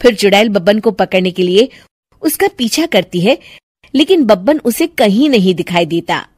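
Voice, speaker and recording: A woman's voice: mid-range voice, lively intonation, normal speed, darkish tone, slightly rough, dry recording, studio quality. A woman reading scripted children's story narration as the storyteller.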